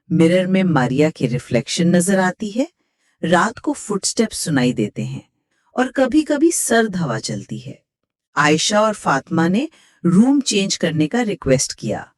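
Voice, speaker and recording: A woman's voice: on the low side, darkish tone, no room sound, clean studio sound. A woman with a Pakistani accent hosting and reading a scripted horror story. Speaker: host, horror story narrator.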